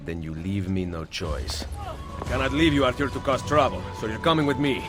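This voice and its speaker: An actor playing a resolute, wry Renaissance assassin in a video game, calm and measured. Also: male voice, mid-range voice, music in the background, lightly acted. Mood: cool menace. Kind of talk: video game cutscene acting.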